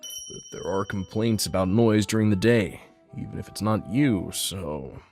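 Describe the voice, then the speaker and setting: A man playing a polite, businesslike apartment management agent in a manga dub, measured and matter-of-fact. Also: on the low side, a little mellow, faint music behind.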